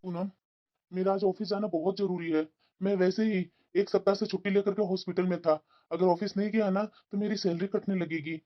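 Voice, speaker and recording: A gentle young father, calm and reassuring, with a mid-range voice, as a woman plays him. The recording sounds dull and lo-fi. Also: mid-range voice, fairly quick, lightly acted. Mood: earnest. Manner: soothing and reassuring.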